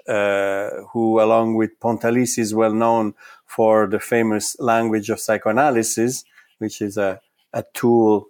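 A man from Italy whose first language is Italian, answering interview questions in a thoughtful, halting way with frequent repeated words. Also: medium pitch, darkish tone. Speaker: psychoanalyst guest.

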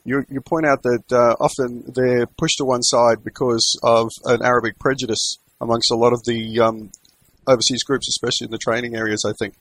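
A male radio host poses his questions in a measured, polite manner. His voice sounds close and dry, with no room echo. It sits at an ordinary middle pitch for a man. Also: little pitch movement, fairly bright tone. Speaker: radio interviewer.

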